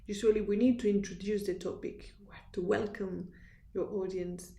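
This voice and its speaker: A woman with a strong Spanish accent gives a university lecture in an earnest, instructive way. Her voice has a gravelly fry and a mellow, relaxed tone. The room around her is echoey. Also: mid-range voice, moderate pace. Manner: earnest, instructive, with restarts and fillers. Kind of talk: recorded lecture.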